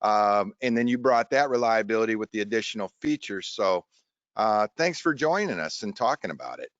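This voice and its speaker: A man hosting a casual tech discussion in a halting, rambling way over an online video call. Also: lowish voice, expressive, a bit bright, dry recording. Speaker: host of a show tech webinar. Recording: dull, lo-fi online stream audio.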